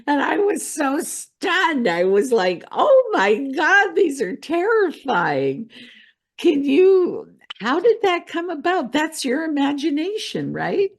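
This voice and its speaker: A woman hosting a casual podcast interview, informal, expressive and quick to react. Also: sits high, vocal fry.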